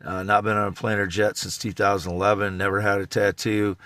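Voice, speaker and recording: A man in his fifties from Iowa with a Midwestern American accent and a scratchy voice, rambling in a halting, repetitive, insistent monologue. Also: on the low side, flat delivery, fairly bright tone, no room sound. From Iowa, United States. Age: 50s. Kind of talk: rambling self-recorded monologue.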